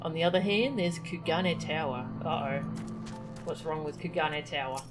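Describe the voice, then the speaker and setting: Female game streamer, lower-register voice, playful and relaxed casual commentary. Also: normal speed. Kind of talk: casual livestream gameplay chat, reading game text aloud. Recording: game music behind the voice.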